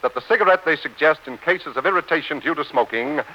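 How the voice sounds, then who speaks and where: A man's voice: fairly high pitch, expressive, fast talker. A man announcing on 1940s radio, reading in an emphatic, declamatory style. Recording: muffled 1940s radio broadcast.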